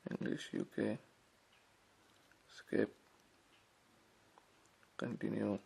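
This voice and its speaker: A man's voice: lowish voice, monotone, drawn-out words, gravelly, dark tone, breathy edge. A man giving casual, informal unboxing commentary as a tech reviewer, talking directly to viewers. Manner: informal, addresses viewers as guys.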